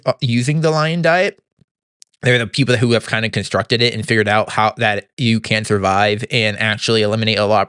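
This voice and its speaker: Young guy doing a chatty podcast update, smooth voice, dry close mic, lots of restarts and stammers. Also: medium pitch, fairly bright tone, no room sound. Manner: halting, many restarts and stammers; upbeat, laughs easily. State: throat sometimes tight and slightly gravelly. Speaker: podcast host. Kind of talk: casual monthly recap monologue.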